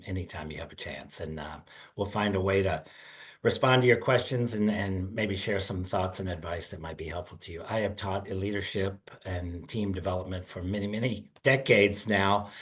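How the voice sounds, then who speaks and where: Older man, low voice, headset mic, video call, earnest, filler-heavy. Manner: earnest, many fillers and repeats. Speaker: guest speaker. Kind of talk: webinar presentation. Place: indoor room, video call.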